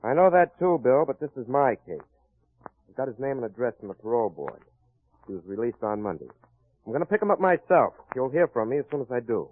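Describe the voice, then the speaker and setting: An actor playing a wry, confident private detective in a hard-boiled old-time radio crime drama. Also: male voice, mid-range voice, big pitch swings, quick pace, close to an everyday voice. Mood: wry, assured. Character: wry confident male private detective cracking a murder case. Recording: muffled vintage radio recording.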